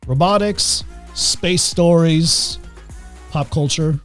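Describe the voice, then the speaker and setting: A man's voice: on the high side, takes it slowly, soft background music. Hesitant man hosting a podcast. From Greece. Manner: hesitant, many uhs and ums. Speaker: podcast host. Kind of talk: casual podcast chat.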